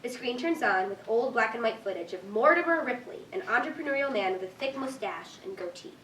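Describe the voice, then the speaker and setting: A woman's voice: a little high, expressive, a little fast, a bit bright. A woman reading scripted stage directions as narrator at a live staged reading. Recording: distant echoey room, faint noise.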